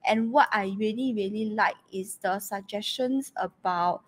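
A woman co-hosting a podcast in casual chat, brisk and teasing, dropping particles at the ends of her sentences. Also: mid-range voice. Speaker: podcast co-host.

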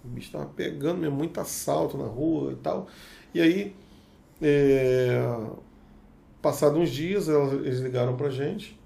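A man with an Espírito Santo Brazilian Portuguese accent tells a story on a podcast as a guest chef. His voice sits a little high and is heavily gravelly, full of vocal fry. He slips into the other people's lines as he tells it. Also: a little slow. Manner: lively storyteller who acts out the dialogue. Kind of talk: casual anecdote telling.

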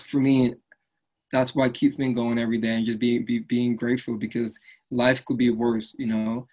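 Man, earnest, upbeat, casual interview chat, mid-pitched voice. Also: darkish tone, poor audio. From Liberia. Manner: earnest, upbeat, frequent "right?" tags. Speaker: fitness business owner, guest.

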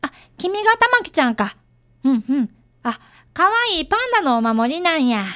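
High, animated young schoolboy detective played by a woman. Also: normal speed. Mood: kindly, questioning. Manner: polite, earnest. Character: earnest, polite fifth-grade boy, apprentice detective and narrator. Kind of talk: radio drama acting and narration.

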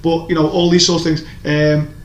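A man's voice: on the high side, level tone, normal speed. A man chatting casually as a podcast co-host, rambling and opinionated, with lots of restarts. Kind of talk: casual chat reviewing TV shows. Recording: echoey room, faint background noise.

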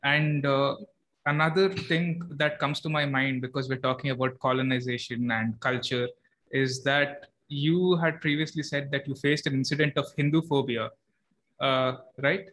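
A man's voice: mid-range voice, steady tone, moderate pace, fairly bright tone, poor audio. A man hosting a podcast, asking questions in a casual, agreeable way. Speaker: podcast host. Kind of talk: conversational interview.

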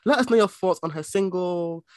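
A man's voice: sits high, a little fast, dry recording, studio quality. A man hosting a podcast, chatting emphatically and introducing each segment. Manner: enthusiastic, emphatic, slangy. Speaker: podcast host. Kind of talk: casual chat, presenting segments.

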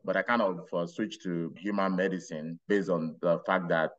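Brief: middle-aged man, Nigerian accent, lowish voice, muffled lo-fi audio, storytelling podcast guest. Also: steady tone. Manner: unhurried, rambling with restarts and laughs. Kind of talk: casual interview storytelling.

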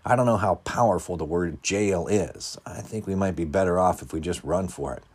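A man doing a hushed, worried young hero. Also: low voice, moderate pace, slightly rough. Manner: hushed, urgent.

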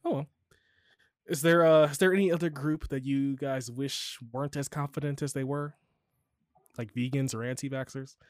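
A man from Chicago chatting as a podcast host, loose and profane, his pitch shooting up when he gets worked up. The sound is close and dry, with no room around it. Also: normal speed, no echo. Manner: animated, swearing, jumps to high pitch when riled. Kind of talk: casual chat.

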